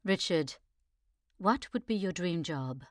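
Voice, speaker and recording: Woman interviewer, bit low voice, asking short prepared questions, dry audio. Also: expressive, slow, dry recording. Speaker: interviewer, theatre company artistic director.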